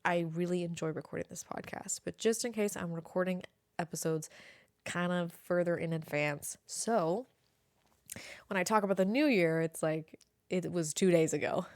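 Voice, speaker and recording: Lower-voiced woman, late twenties, casual chat. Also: darkish tone, dry recording, clean studio sound. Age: late 20s. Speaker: podcast host. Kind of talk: casual solo podcast chat. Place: at home near an airport.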